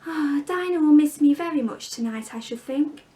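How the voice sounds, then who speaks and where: A woman voicing a polite, curious young girl who talks in a bright, wondering way. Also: high-pitched, moderate pace, voice pushed up, natural voice. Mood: wistful.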